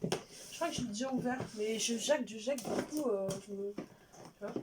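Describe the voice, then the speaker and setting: A woman's voice: on the high side, moderate pace, very noisy. A woman chatting casually as she unboxes beauty products, rambling and interrupting herself, sometimes dropping to a near-whisper. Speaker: beauty vlogger.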